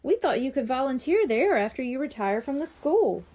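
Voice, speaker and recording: Woman reading as an eager, high-voiced young boy. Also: sing-song, normal speed, mellow. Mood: hopeful. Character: eager, kind-hearted young boy with ideas. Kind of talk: children's storybook character voice.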